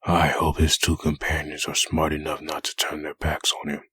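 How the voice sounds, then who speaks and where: A man playing a brooding undead antihero full of hatred in a comic dub, with a gravelly rasp and a grim monologue delivery. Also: very deep voice, monotone, bright, forceful tone, no room sound, heavily acted. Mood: vengeful. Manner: grim, slow internal monologue. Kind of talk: comic dub dramatic narration.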